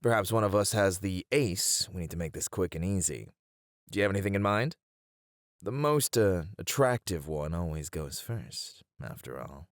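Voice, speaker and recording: A man's voice: sits low, animated, normal speed, dry recording, clean studio sound. A man playing a flirty, cheeky hare-eared mafia boss in an ASMR roleplay, teasing and playful as he charms his rivals. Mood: sly, casual.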